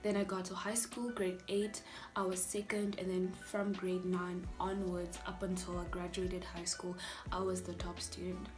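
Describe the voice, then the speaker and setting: A South African woman in her twenties, a native Afrikaans speaker, telling her student story in a chatty, animated way with breathy asides. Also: fairly low pitch, moderate pace, over music. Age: 20s. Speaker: vlogger, university student. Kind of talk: candid personal storytelling vlog.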